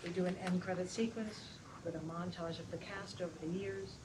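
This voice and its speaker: A woman's voice: fairly low pitch, steady tone, a little fast. A woman reading stage directions aloud as the scripted narrator of a table read. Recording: noisy live room ambience.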